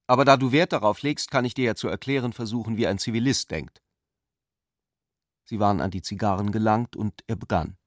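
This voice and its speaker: A man's voice: fairly low pitch, brisk, no room sound, clean studio sound. A man reading literary prose and its dialogue as the narrator of a radio play.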